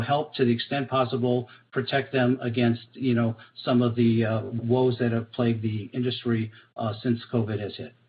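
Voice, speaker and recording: Male executive on a dull conference call. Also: medium pitch. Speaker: corporate executive. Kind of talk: earnings call answers. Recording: dull conference call audio, faint noise.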